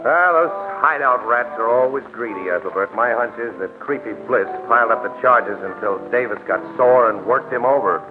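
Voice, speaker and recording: A man's voice: mid-range voice, normal speed, hardly any pauses, natural voice. Through a tinny vintage radio broadcast with some music comes an actor as a shrewd, slangy male crime photographer. He talks casually and confidently, like a wisecracking hero of a 1940s radio mystery. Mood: casual, curious. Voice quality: slangy city talk. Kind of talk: 1940s radio drama acting.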